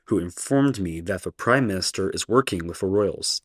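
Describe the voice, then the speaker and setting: A woman voicing an older male professor in a radio play, speaking in a measured, steady, reassuring way. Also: extremely low pitch, no echo, clean studio sound, voice pushed down. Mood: concerned. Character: older male professor, calm protective ally.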